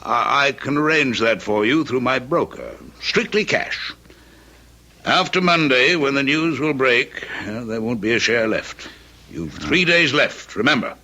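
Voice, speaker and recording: An actor playing a stern, tight-fisted middle-aged father and lawyer in a radio drama, curt and deliberate. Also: mid-range voice, pushed and bright. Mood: calculating, persuasive. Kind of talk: old-time radio drama acting.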